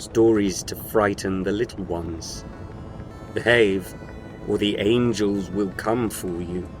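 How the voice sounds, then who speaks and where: A man's voice: fairly low pitch, steady tone, takes it slowly, music in the background. A man reading scripted narration for a short audio story.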